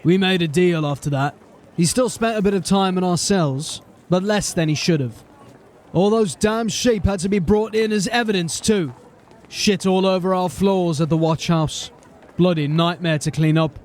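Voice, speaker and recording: A male actor plays a seasoned sergeant of a fantasy city watch. He is probing and matter-of-fact, pitched high for a man. The audio drama puts faint outdoor noise behind him. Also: normal speed, darkish tone, lightly acted. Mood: wry, matter-of-fact.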